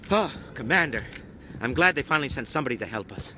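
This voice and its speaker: An actor playing an earnest, courteous leader of an embattled colony in a sci-fi video game. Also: male voice, lively intonation, fast, bright, forceful tone. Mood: earnest, weary. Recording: noisy rumbling ambience behind voice.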